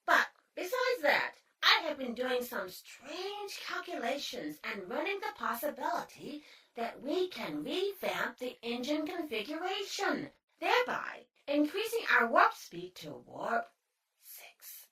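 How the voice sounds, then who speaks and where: A woman's voice: high voice, big pitch swings, measured pace, sharp tone, far from the mic, heavily acted. A woman voicing an eager, sassy little service robot in a sci-fi audio drama. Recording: echoey, off-mic, music behind.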